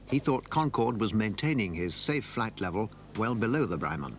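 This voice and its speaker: A man's voice: on the low side, very animated, normal speed. A man reading scripted narration for a documentary.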